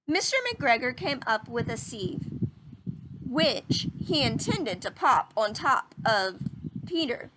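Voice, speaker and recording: A woman reading a children's story aloud in an instructive, teacherly manner, pausing to put questions to young listeners. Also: sits high, heavy background noise. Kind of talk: children's read-aloud narration.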